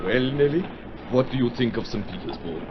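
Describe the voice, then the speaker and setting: A man's voice: a little fast, lightly acted. A man playing a debonair, protective opera singer, courtly and theatrical, in old radio drama style. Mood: genial. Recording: muffled old recording with loud rumbling noise.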